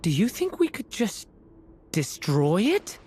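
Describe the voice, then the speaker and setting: A woman voicing a gentle, earnest young dragon boy in a video game, tentative in manner. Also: mid-range voice, huge pitch swings, takes it slowly, a little hiss or hum. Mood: hesitant resolve. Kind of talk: video game character acting. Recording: faint music behind the voice.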